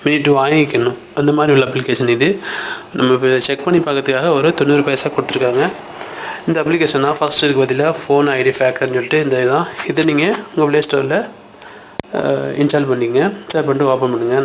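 A man's voice: medium pitch, slight background noise. A man hosting an informal step-by-step app tutorial, talking through each step in colloquial speech. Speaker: YouTube tutorial host.

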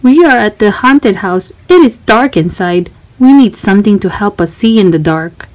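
A woman voicing a cheerful, excitable young girl explorer in a children's storybook, exclaiming and asking listeners for help. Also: medium pitch, normal speed, close to an everyday voice, rough recording. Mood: cheerful, inviting. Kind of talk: children's storybook read-aloud.

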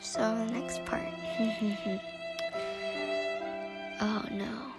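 A young woman narrating her home cooking video in a hushed, breathy voice, with deadpan self-mocking jokes. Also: on the high side, drawn-out words, loud music behind the voice. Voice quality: hushed and breathy, near-whispered. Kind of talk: self-deprecating voice-over narration. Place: home kitchen.

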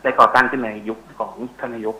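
Middle aged guy expert on a phone interview, sharp bright voice, explaining stuff, bit hesitant. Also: mid-range voice, flat delivery, fast, rough recording. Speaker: interview guest, university researcher. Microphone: telephone call.

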